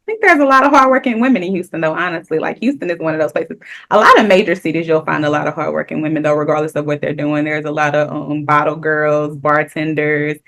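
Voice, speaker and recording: A woman's voice: low voice, fairly even intonation, fast talker, a bit bright. A woman from Louisiana on a podcast panel, thinking aloud and hedging her answers. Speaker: podcast guest. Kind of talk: casual panel chat.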